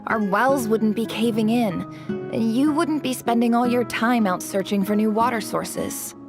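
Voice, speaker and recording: A chief's skeptical daughter. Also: female voice, on the high side, measured pace, music in the background. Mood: doubtful. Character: young daughter of a desert chief, curious and skeptical. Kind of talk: game cutscene voice acting.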